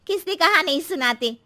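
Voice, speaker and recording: A woman's voice: extremely high pitch, pushed and bright, voice pushed up, exaggerated voice. A woman voicing a chatty, knowing housewife neighbour in a cartoon story.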